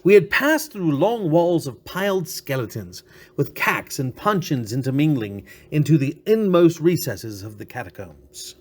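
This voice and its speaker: Animated man reading a story dramatically. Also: fairly high pitch, measured pace. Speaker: story reader. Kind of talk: dramatic literary narration.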